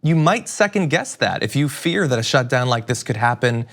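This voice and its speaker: A male talk show host with an average-pitched voice conducts a news interview. He is engaged and conversational, phrasing questions at length as he thinks them through aloud. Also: expressive, normal speed, fairly bright tone.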